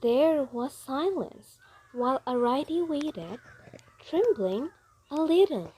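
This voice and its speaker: A woman's voice: high-pitched, animated, slow pace, dark tone, slight background noise, rough recording. A woman narrating a story expressively for a reader's theatre.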